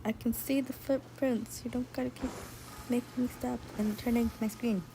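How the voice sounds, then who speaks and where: Brief: a low, gravelly woman streaming, mumbly and offhand, with clear noise behind. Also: fairly even intonation, moderate pace. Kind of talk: casual livestream commentary.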